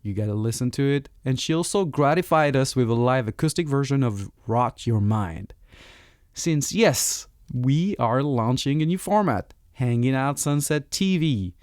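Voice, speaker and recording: A French-accented man hosts a podcast in a lively, chatty manner, often restarting his sentences. His voice sits at a middle pitch and sounds very dry and close, with no room around it. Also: a little slow, no echo. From France. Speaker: podcast host. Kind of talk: casual interview chat.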